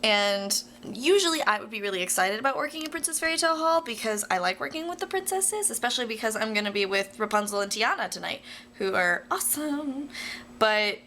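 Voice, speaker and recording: A woman's voice: on the high side, animated, brisk, pushed and bright, slight background noise. A young American woman talking casually to viewers like a video diary, rambling in a playful, self-deprecating way. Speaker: vlogger.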